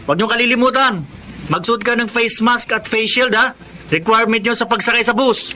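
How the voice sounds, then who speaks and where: Brisk, bossy young Filipino hustler for radio drama; high, very sharp, forceful delivery. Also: male voice, slight background noise, exaggerated voice. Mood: brisk, confident. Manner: brisk, instructing. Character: bossy young street hustler giving instructions.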